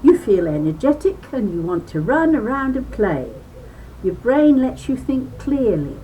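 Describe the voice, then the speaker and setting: Slow-paced woman reading to children, noisy recording. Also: a little high, a little mellow. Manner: teacherly, emphatic, addresses listeners with questions. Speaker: reader of a children's book. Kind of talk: read-aloud children's book with chatty asides.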